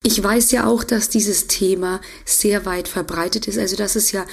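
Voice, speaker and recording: A woman with a German accent hosting her podcast as an intuitive eating coach, slightly hoarse from a cold, chatty and often restarting her sentences. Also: mid-range voice, fairly even intonation, moderate pace, faint music behind. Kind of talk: casual solo podcast talk.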